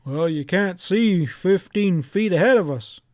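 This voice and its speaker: Cautious older rural judge, played by a male reader, folksy, hesitant, raised pitch, storybook. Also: animated, measured pace, darkish tone, dry recording, studio quality. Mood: cautious, resigned.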